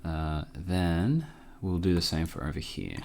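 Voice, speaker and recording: A man hosting a casual software tutorial, informal and a bit hesitant as he thinks aloud. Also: low voice, animated, measured pace, vocal fry, slight background noise. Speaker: tutorial host.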